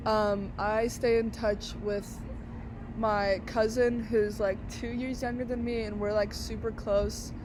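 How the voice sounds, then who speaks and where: A woman's voice: on the high side, flat delivery, slow pace. Young woman, casual rambling interviewee, outdoor traffic noise. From Brazil. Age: young adult. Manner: chatty, many fillers and restarts.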